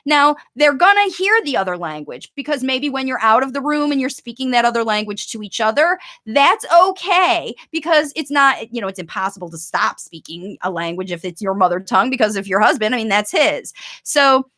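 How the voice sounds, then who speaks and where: A woman's voice: a little high, big pitch swings, brisk, sharp tone. A woman coaching parents on language learning in a chatty, upbeat live-stream Q&A, reading out viewers' questions as she goes.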